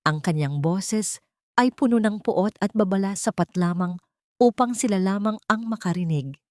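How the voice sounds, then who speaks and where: A woman's voice: mid-range voice, normal speed, darkish tone, no echo, clean studio sound. A woman narrating a dramatic story from a script in a solemn, emotive manner.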